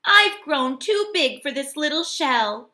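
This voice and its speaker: Woman voicing an earnest high-pitched little hermit crab. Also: takes it slowly, heavily acted. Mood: resolute. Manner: expressive, emphatic storybook delivery. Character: gentle, polite, earnest hermit crab, admiring and anxious. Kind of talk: children's storybook read-aloud. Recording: echoey room.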